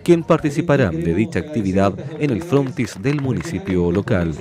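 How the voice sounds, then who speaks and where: A man's voice: lowish voice, sing-song, normal speed, crowd noise. A Chilean man reading a formal news voice-over about a rural fair. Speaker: news narrator.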